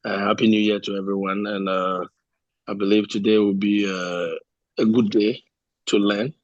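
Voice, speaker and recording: Male organiser with a Ghanaian accent, voice a bit gone, lowish and monotone, dry close sound. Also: normal speed, no room sound, muffled recording. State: slightly lost voice, under the weather. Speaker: meeting organiser introducing a guest. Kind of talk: casual introduction.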